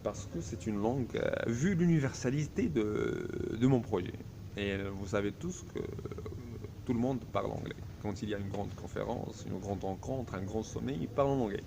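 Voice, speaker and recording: A man's voice: fairly low pitch, lively intonation, moderate pace, gravelly, background noise. A man whose first language is Arabic, delivering a grandiose solo video monologue in a self-assured tone, full of hesitations. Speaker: vlogger.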